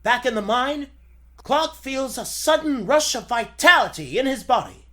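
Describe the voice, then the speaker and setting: A man's voice: extremely high pitch, lively intonation, moderate pace, sharp tone. A man narrating dramatically in the style of a 1940s radio serial.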